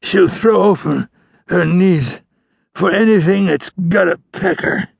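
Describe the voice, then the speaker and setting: Western audiobook: wounded outlaw, defiant and sneering, gravelly strain, high-pitched, words dragged out. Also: male voice, no room sound, studio quality, full character voice. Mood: suggestive, spiteful. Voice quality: strained, slurred, groaning. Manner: drawn-out, sneering, contemptuous. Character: dying wounded Old West outlaw, bitter and defiant. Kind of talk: western audiobook character acting.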